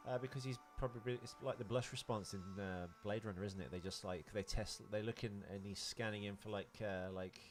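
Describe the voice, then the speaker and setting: A man's voice: fairly low pitch, steady tone, brisk, few pauses, faint music behind. A man co-hosting a podcast, chatting casually about films and explaining his take. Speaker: podcast co-host. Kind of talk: casual film discussion.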